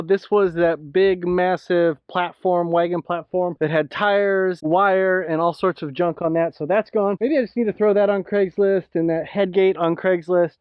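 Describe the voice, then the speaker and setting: A man's voice: high voice, clean tone, no room sound, muffled recording. A man talking casually to his video audience as a farmer, explaining and reflecting in a plain-spoken way. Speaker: farmer and vlog host. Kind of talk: casual video blog talk. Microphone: camera microphone.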